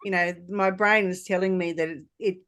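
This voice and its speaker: A woman's voice: lowish voice, steady tone, normal speed, fairly bright tone. A woman hosting an interview podcast, talking casually with informal, somewhat hesitant phrasing. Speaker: podcast host.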